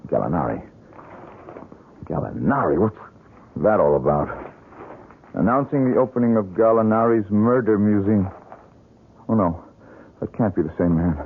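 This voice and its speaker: An actor playing an earnest, excitable painter with a husky voice, in old radio drama style. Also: male voice, on the low side, normal speed, pauses often, narrow, tinny audio, a little hiss or hum. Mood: alarmed, urgent. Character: earnest, excitable, haunted struggling male painter. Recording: thin band-limited old radio broadcast.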